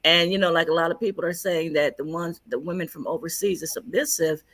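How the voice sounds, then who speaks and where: Female panelist, husky low voice, dry mic, plain-spoken. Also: a little fast, fairly bright tone, no room sound. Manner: frank, plain-spoken storyteller. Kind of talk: casual panel chat.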